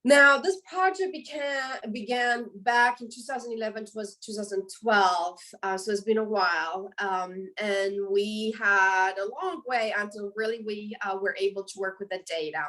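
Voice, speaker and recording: A Romanian-accented woman in her forties speaks haltingly as a webinar guest, often pausing on ums and correcting herself mid-phrase. She sounds set back from the microphone, as if heard over a video call. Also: medium pitch, bright, forceful tone, distant mic, poor audio. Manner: hesitant, many ums and restarts. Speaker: professor and guest. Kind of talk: webinar interview answer.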